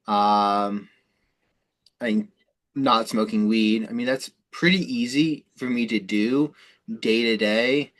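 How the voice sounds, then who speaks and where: A man's voice: lowish voice, slow pace, fairly bright tone. Rambling man in casual talk. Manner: rambling, informal, frequent swearing. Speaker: host of a self-improvement conference call. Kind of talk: casual, unscripted explanation on a call.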